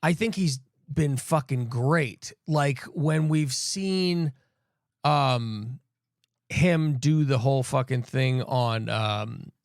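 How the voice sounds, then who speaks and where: A man's voice: medium pitch, slow pace, no room sound, clean studio sound. A man co-hosting a podcast, chatting casually about wrestling in a rambling way with lots of swearing and fillers. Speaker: podcast co-host.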